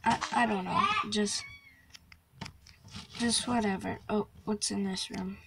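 A woman's voice: mid-range voice, fairly even intonation, slow pace, creaky, a bit bright, slight background noise. A young girl touring a game map, talking casually with lots of likes and ums. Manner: hesitant, full of likes and ums. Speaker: Minecraft player touring a map. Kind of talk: casual gameplay commentary. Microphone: phone screen recording.

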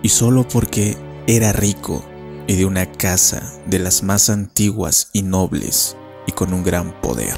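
A male narrator tells a legend in a dramatic scripted style. His voice is deep and gravelly. Faint music accompanies him. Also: a little slow, darkish tone.

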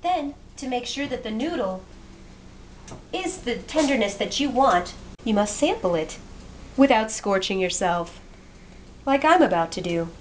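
A woman's voice: on the high side, expressive, measured pace, long pauses. A young woman giving a jokey, chatty cooking demonstration in a small home kitchen, recorded on a handheld camera. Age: young adult. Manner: chatty, jokey, self-mocking, moving around while cooking. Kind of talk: playful how-to narration. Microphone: handheld camera mic, moving. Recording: noisy home camera audio.

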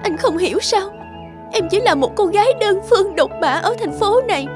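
A woman voicing a gentle, insecure young working-class girlfriend in a cartoon drama, earnest and hesitant. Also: very high-pitched, animated, moderate pace. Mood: pained, pleading. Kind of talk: animated drama dubbing. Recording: music under the voice.

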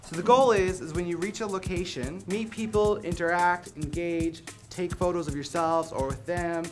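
A man's voice: high voice, steady tone, moderate pace. A young man giving a casual briefing as a program leader. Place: indoor meeting room. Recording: music under the voice.

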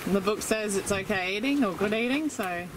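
A middle-aged woman, giving an excited running commentary while filming on a sailing boat at sea. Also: medium pitch, fairly quick. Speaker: sailing vlogger filming on deck. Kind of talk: casual chat. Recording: windy outdoor camera audio from off-mic.

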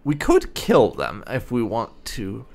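A man giving casual commentary on a game playthrough, halting as he thinks aloud. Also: on the low side, huge pitch swings, gravelly, darkish tone. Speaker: gaming video host. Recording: faint game music behind.

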